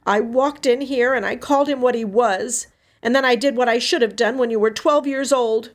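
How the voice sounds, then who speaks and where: A woman's voice: on the high side, moderate pace, voice pushed up, natural voice. A woman voicing a grieving, protective older sister in an audiobook story, emotional and emphatic in her delivery. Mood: resolute, confessing. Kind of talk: dramatic audiobook character dialogue.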